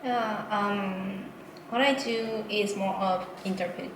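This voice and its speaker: A woman's voice: mid-range voice, fairly even intonation, slow. A woman, speaking as an interpreter being interviewed, hesitant with many fillers and frequent laughs. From Japan. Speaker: interpreter guest. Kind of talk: casual interview. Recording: echoey room with noise.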